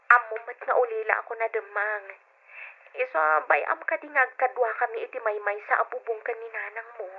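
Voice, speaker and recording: A woman playing a gentle, patient young daughter-in-law in a radio drama, earnest and plaintive, with a Filipino accent. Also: fairly high pitch, normal speed, husky. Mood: gently pleading. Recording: dull, lo-fi old radio drama.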